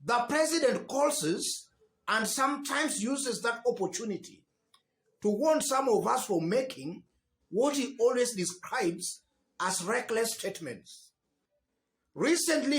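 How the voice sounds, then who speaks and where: High-pitched Kenyan man, slow political commentary. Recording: echoey room.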